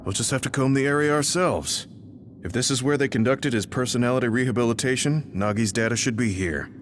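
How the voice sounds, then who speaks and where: A man's voice: medium pitch, a little fast, background noise, close to an everyday voice. A man playing a practical, level-headed squadmate in an anime game, matter-of-fact in delivery. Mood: serious, practical. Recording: game music behind dialogue.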